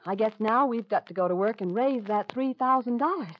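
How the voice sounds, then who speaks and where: A woman's voice: fairly high pitch, a little fast, close to an everyday voice. A woman playing a compassionate, determined newspaper reporter, direct and resolute, in an old radio drama. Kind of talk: 1940s-50s radio drama acting. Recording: muffled, noisy old radio recording.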